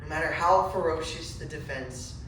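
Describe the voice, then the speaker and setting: A man giving a solemn, measured dramatic recitation of literary prose on stage. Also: on the high side, a bit bright. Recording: echoey room, far mic, noisy.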